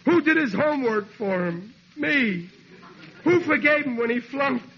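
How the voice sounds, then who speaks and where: A man playing an excitable, dim but soft-hearted working-class father, blustering theatrically in 1940s radio sitcom style. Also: very high-pitched, unhurried, full character voice. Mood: self-pitying. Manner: exasperated, theatrical, ungrammatical bluster. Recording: noisy muffled vintage radio recording.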